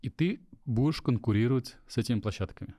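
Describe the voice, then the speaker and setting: A man hosting an interview, asking quick questions and cutting in with jokes. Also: mid-range voice, lively intonation, warm tone, no echo. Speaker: interview host. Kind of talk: conversational interviewing.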